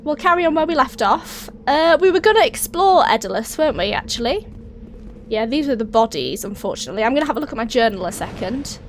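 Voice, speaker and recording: Raspy woman with a cold, gaming. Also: high voice, fast. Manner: chatty, thinking aloud while playing. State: hoarse with a cold. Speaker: let's play host. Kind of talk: casual gameplay commentary. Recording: voice over steady game engine noise.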